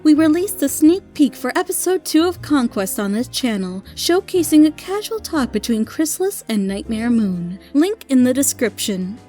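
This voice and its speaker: A woman hosting a scripted review show, reading her measured, carefully phrased critique and announcements as a voice-over. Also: sits high, big pitch swings, mellow, soft background music. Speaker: host and reviewer of a monthly audio drama show.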